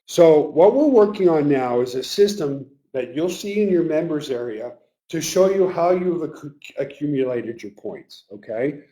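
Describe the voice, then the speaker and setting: A man hosting a live webinar, talking earnestly and emotionally to his team, his voice getting a little raspy. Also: fairly high pitch, animated, normal speed, warm tone, low-quality recording. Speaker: webinar host. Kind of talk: live webinar pep talk.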